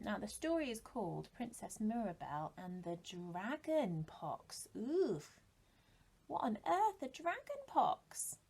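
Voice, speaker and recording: A woman's voice: medium pitch, gravelly, slightly rough. A British woman in her thirties, a primary teacher talking and reading expressively to young children, often putting questions to them. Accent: British English. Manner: expressive, asks listeners rhetorical questions. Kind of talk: animated talk and storybook reading to young children.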